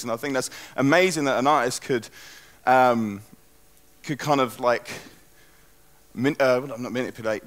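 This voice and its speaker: A man's voice: mid-range voice, a bit bright. Rambling young man talking on stage. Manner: chatty, loose, rambling with restarts. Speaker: conference speaker. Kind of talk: live stage talk. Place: stage in a conference hall.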